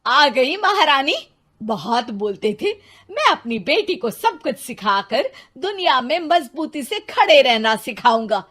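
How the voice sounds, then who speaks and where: A woman acts out an angry middle-aged Indian mother from a cartoon moral tale. She scolds with theatrical sarcasm, her high voice swooping widely as she drags words out slowly. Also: big pitch swings, fairly bright tone, slightly rough, heavily acted. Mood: angry scolding. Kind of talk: animated moral story acting.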